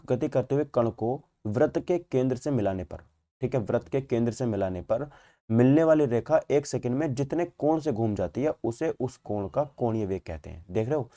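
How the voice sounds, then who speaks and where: Male physics tutor, North Indian accent, soft-edged warm timbre, mid-range voice, explains step by step with repeated question-and-answer phrasing. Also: medium pitch. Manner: repetitive, asks and answers his own questions. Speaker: physics teacher. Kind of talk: explanatory exam-prep lecture.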